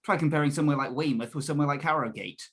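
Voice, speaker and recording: A young man from the south of England, co-hosting a political chat in a measured, thoughtful way, often correcting himself mid-sentence. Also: on the high side, fairly bright tone, slightly rough. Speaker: podcast co-host. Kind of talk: casual political discussion.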